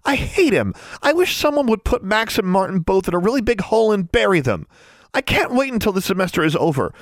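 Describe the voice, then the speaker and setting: Man doing a shrill, indignant schoolgirl. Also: big pitch swings, brisk, no room sound, clean studio sound. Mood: furious. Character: hot-tempered, indignant schoolgirl roommate.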